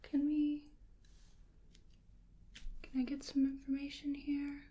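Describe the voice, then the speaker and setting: A woman with a soft, breathy, often whispery voice doing ASMR roleplay as a bewildered, polite researcher, speaking hesitantly to the listener. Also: high-pitched, completely flat delivery, takes it slowly, highs cut off. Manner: hesitant, murmuring to an unseen listener. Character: bewildered, polite female researcher examining a creature.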